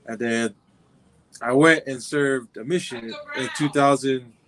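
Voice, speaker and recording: A man's voice: medium pitch, steady tone, unhurried, a bit bright, a little hiss or hum. A man in his twenties from Southern California, a football player answering interview questions in a laid-back, rambling way. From Compton, California. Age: 20s. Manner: laid-back, rambling, many fillers and restarts. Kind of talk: casual interview.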